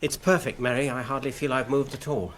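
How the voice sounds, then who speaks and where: An actor playing an earnest, anxious, well-bred gentleman hobbit in a radio drama, polite and hesitant in his phrasing. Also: medium pitch, fairly even intonation, a little fast, slight background noise, lightly acted.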